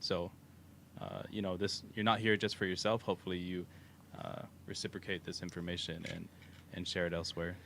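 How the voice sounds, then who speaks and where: A deep, low-pitched man with a Texan accent, chatting loosely on a livestream. He rambles along with plenty of fillers. A faint hiss of noise sits under his voice. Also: a little fast, vocal fry. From Texas. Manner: relaxed, rambling, with fillers and laughs. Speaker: co-host of a crypto livestream. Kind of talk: casual livestream chat.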